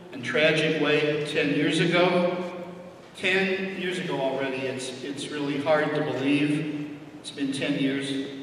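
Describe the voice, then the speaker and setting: A man hosting an event, giving a formal, earnest introduction of guest speakers with deliberate phrasing. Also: fairly high pitch, fairly bright tone. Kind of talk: formal public introduction speech. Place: large reverberant indoor venue. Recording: echoey hall from far off, faint noise.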